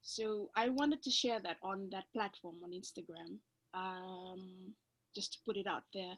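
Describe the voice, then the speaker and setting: A woman with a Fijian accent, a native Fijian speaker, talks through a video call in a panel discussion. She is thoughtful and hesitant, pausing often on filler sounds, with a voice of ordinary pitch. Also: fairly bright tone, husky. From Fiji.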